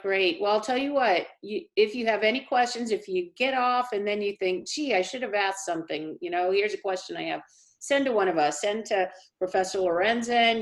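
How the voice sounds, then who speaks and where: A woman speaking as a college dean, answering questions in a measured, reassuring, explanatory way on a video call. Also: mid-range voice, rough recording. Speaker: college dean hosting a town hall. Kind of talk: informal question-and-answer briefing. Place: remote online meeting.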